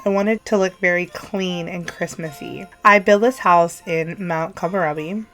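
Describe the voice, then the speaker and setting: Hoarse Texan woman chatting over music. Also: on the low side, normal speed. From Texas, USA. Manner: chatty and animated, talks to viewers like friends, trips over words. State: hoarse from a cold. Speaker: YouTube creator. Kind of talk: casual voice-over commentary on a game build.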